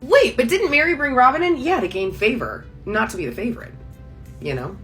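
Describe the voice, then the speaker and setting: A young woman hosting a casual reaction commentary, sarcastic and quick with asides. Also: a little high, animated, a bit bright, faint music behind. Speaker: commentary channel host.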